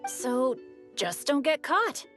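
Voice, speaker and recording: Bookish boy hero from a game, kinda high voice, talks fancy and poetic, music behind. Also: sing-song, takes it slowly, full character voice. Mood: cheerful, sly. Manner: poetic, formal, articulate. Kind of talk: scripted video game dialogue.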